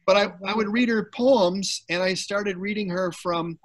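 A poet telling stories about his path to poetry in a lively, chatty way, heard over a video call. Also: high-pitched, a little slow. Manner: animated, chatty, laughs while telling stories. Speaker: poet, featured guest. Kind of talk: conversational storytelling on a video call.

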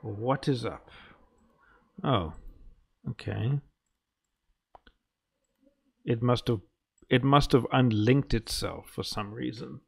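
Guy doing a casual let's play, slow and gravelly, kinda muttering to himself. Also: medium pitch. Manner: muttering, thinking aloud. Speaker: gaming video host.

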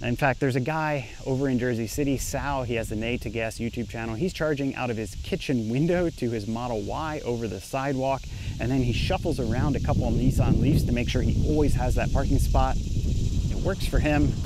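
A young man talks casually to the camera from a quiet residential street, explaining things with an easy, conversational delivery. His voice sits in a mid range. Faint insects and outdoor ambience hum behind him. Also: mid-range voice, normal speed. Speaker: engineer and startup founder.

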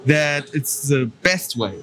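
A young man giving a measured, hesitant answer in a street interview outdoors at an event. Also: a little high, animated. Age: young adult. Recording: noisy outdoor ambience.

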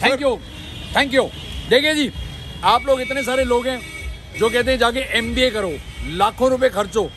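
A middle-aged man co-hosting a food vlog, telling stories in an animated, emphatic way on an outdoor street. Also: very high voice. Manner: animated storyteller, explains with emphasis. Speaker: food show co-host. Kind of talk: casual storytelling in a food vlog. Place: busy street market outdoors. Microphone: phone camera at close range.

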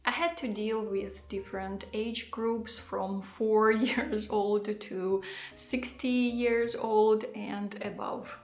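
A woman in her thirties, not a native English speaker, talking to the camera at home in a thoughtful, unhurried vlog with soft laughs. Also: mid-range voice, fairly even intonation. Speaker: vlogger. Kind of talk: casual talking-head vlog. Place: home living room, indoors. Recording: echoey room.

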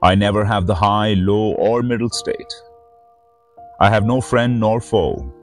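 A man with a deep voice speaks as a spiritual teacher presenting sacred verse. His delivery is solemn and measured, shaped like a devotional recitation. Soft instrumental music plays faintly underneath. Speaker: spiritual teacher and reader, host of the series. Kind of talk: slow devotional reading of sacred verse with short host remarks.

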